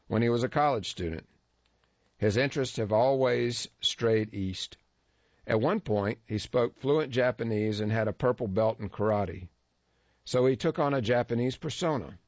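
Low-voiced man reading a wry story. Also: a little mellow, dry recording. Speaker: narrator of a feature story. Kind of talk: scripted first-person narration. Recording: dull lo-fi dry recording.

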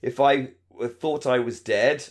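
A man's voice: medium pitch, slow pace. A man co-hosting a podcast in casual chat, effusive and given to repeating phrases for emphasis. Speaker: podcast co-host.

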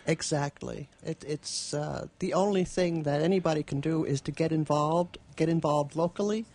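A woman's voice: deep voice, normal speed, darkish tone. A woman co-hosting a casual radio chat, talking in an amused way from a station studio. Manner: amused, chuckling as she talks. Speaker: radio co-host.

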